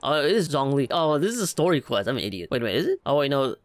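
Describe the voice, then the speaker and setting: A man's voice: mid-range voice, sing-song, quick pace, no room sound. A young man hosting a gaming video with casual, self-deprecating and jokey reaction commentary. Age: young adult. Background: faint music.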